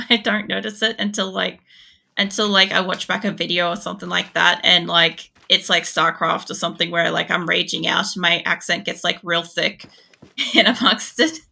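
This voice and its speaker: Gravelly bright-voiced Australian woman. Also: fairly low pitch, level tone, normal speed. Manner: rambling, frequent fillers and swearing. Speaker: game streamer. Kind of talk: casual gaming commentary chatting with viewers.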